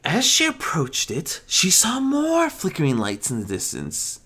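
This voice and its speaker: A narrator reading a fan fiction story aloud in an expressive storytelling style. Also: mid-range voice, unhurried, breathy edge. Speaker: audiobook narrator.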